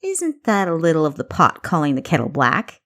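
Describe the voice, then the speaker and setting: A woman's voice: deep voice, huge pitch swings, normal speed, fairly bright tone, exaggerated voice. Woman playing a sassy young female spy. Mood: cheeky. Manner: sarcastic, cheeky.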